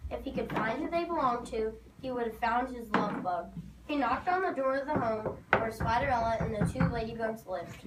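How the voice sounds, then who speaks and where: High-voiced woman narrating in an echoey hall. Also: moderate pace. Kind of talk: scripted storybook narration for readers theater. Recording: noisy, echoey hall from far off.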